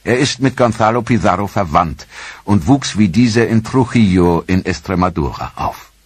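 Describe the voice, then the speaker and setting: A man with a deep, slightly husky voice narrates a history documentary from a script. His delivery is measured and even. The close studio recording is dry, with no room sound. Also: no echo.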